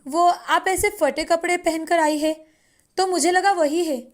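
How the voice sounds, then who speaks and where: A woman voicing a blunt, hurried household maid in a cartoon moral story. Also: very high voice, faint music behind. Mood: flustered apology. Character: brusque household maid, quick to turn flustered.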